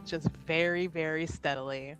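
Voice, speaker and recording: A woman's voice: low voice, fairly even intonation, moderate pace, a bit bright, faint music behind, rough recording. A woman chatting casually and playfully as a player in an improvised tabletop roleplaying game.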